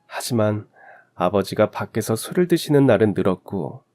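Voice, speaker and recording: A man's voice: lowish voice, expressive, normal speed, darkish tone, faint music behind. A man reading a scripted first-person story in the style of a radio drama. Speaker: narrator of a story channel.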